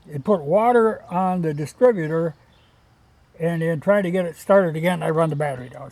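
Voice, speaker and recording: A man with a slightly higher-than-average voice gives a casual, unscripted show-and-tell. He talks in a folksy, chatty way and rambles from one thought to the next like an amateur vlogger. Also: dark tone, poor audio. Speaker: vlogger showing old radios from a junk collection.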